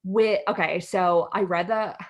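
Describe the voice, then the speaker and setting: Unhurried young American woman, casual co-host. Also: lowish voice, steady tone. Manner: informal, sweary. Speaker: podcast co-host. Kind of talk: casual chat.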